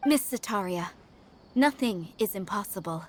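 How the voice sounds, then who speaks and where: An actress voicing a mysterious, composed woman prophet in a video game, speaking in a measured, authoritative manner. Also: mid-range voice, animated, lightly acted. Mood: solemn, ominous.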